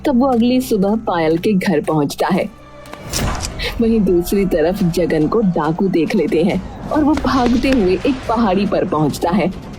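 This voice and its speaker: A woman's voice: medium pitch, animated, measured pace, over music. A woman reading scripted narration for a cartoon story.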